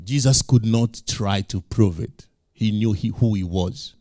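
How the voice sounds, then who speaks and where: A male pastor preaching in English, which is not his first language, building to loud emphatic peaks and repeating lines for effect. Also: lowish voice, a little slow, no room sound, studio quality. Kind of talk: sermon.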